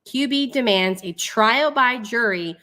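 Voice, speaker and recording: A woman's voice: a little high, lively intonation, a little slow, pushed and bright. A woman hosting a live online commentary, moving between reading aloud and casual asides in an informal, sarcastic, mocking way. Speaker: livestream host.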